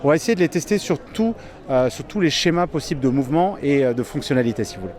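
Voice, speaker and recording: A man's voice: fairly high pitch, animated, quick pace, darkish tone. A man explaining how things are organised, interviewed on site as a sports event director.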